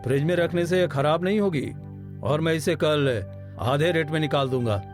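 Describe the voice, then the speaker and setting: A man's voice: fairly high pitch, moderate pace, faint music behind, natural voice. A man voicing a greedy, boastful crow who sells biryani in a cartoon moral story, exclaiming and self-satisfied. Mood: scheming.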